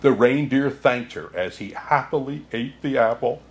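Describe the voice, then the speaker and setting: A man playing a kindly, jolly old Santa Claus, reading a children's storybook aloud in an expressive way. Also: mid-range voice, close to an everyday voice. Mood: gentle storytelling. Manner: unhurried, chuckling asides, expressive storytelling.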